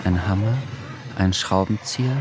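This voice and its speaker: A man's voice: sits low, normal speed, noisy background, lightly acted. A man giving literary, scripted narration for a radio drama.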